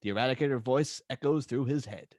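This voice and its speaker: A man's voice: medium pitch, fast talker, darkish tone, no echo, clean studio sound. A man reading stage directions as the narrator of a dramatic superhero script table read.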